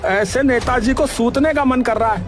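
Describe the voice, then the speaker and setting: A man's voice: very high-pitched, moderate pace. A man playing a hot-headed, brash villager in a cartoon story, scolding and taunting coarsely. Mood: belligerent. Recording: faint music behind the voice.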